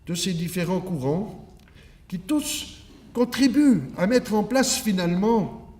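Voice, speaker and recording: A man's voice: high voice, expressive, takes it slowly, a little mellow. A man from Switzerland giving an academic conference lecture in a didactic, emphatic manner with rhetorical asides. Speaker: academic keynote speaker. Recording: echoey room, distant microphone.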